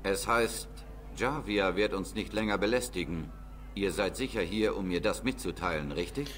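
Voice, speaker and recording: A low-voiced, loyal dwarf warrior from a fantasy game. He is middle-aged, grave and formal, with a faint ambient noise behind. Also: moderate pace, fairly bright tone. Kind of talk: video game voice acting.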